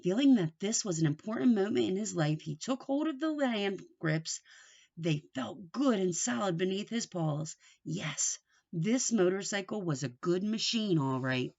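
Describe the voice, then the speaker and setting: A woman gives a read-aloud lesson for young children in a mid-pitched voice. She explains in simple phrases and puts questions to her listeners, reading with deliberate expression. The sound is a little dull and lo-fi. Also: animated, vocal fry, darkish tone.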